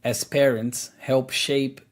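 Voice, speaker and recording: A man speaking as a guest on a podcast, explaining his views in an earnest way. His voice sits at an ordinary pitch, and he keeps the talk loose with fillers like "you know" and "like". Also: little pitch movement, takes it slowly. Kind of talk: casual podcast conversation.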